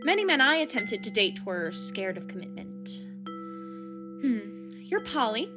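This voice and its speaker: A woman acts a guarded wife in a radio drama, blunt and wry with an insecure edge. Her fairly high voice swings widely in pitch, over clearly audible music. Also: sing-song, unhurried. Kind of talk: radio play acting.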